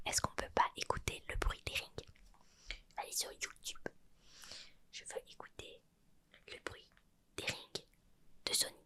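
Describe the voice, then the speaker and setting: A young woman whispering through an ASMR roleplay, reading a retro gaming magazine aloud and adding chatty asides and self-corrections. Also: sharp tone. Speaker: ASMR creator.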